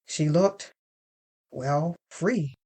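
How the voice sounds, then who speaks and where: A woman reading first-person dramatic fiction in a deliberate, expressive storytelling manner. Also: deep voice. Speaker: narrator.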